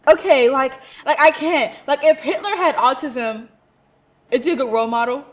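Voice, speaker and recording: A woman's voice: high voice, normal speed. Chatty young woman reacting to clips. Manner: chatty, exasperated, laughs often. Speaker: reaction video host. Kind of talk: casual reaction commentary. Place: cold room at home.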